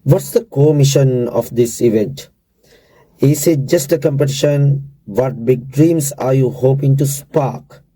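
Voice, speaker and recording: A man hosting an interview, asking slow, deliberate questions. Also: mid-range voice, dark tone, dry recording, poor audio. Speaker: interviewer, host.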